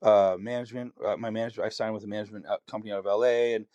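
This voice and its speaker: Raspy canadian guy, lowish voice, rambles and restarts a lot, dry close sound. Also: steady tone, rapid-fire, dark tone, dry recording, highs cut off. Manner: candid, rambling storytelling with many restarts. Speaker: singer-songwriter guest. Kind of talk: podcast conversation.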